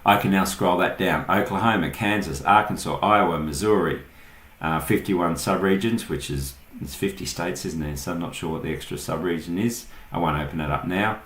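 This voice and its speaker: A man's voice: deep voice, normal speed, a bit bright. An Australian man giving a casual, unscripted screen-share tutorial, thinking aloud in short pieces as he goes. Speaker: online tutorial host. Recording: roomy, echoing voice recording.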